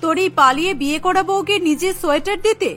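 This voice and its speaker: A woman's voice: very high voice, fast, fairly bright tone, soft background music. A woman voicing a stern middle-aged mother-in-law in a cartoon, scolding and indignant. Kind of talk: Bengali cartoon voice acting.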